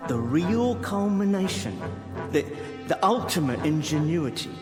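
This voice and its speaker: A man's voice: fairly high pitch, big pitch swings, unhurried. A man playing a pompous, precise professor and criminal mastermind in a radio drama, with formal, exact diction. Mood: lecturing. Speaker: actor in audio drama. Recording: long reverb, music underneath.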